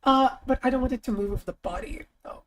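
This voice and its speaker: A young woman streamer chatting casually as she works, thinking aloud, her voice lower than usual today, with bursts of high excitement. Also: brisk, slight background noise. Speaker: streamer and artist. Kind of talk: casual live-stream chat while working.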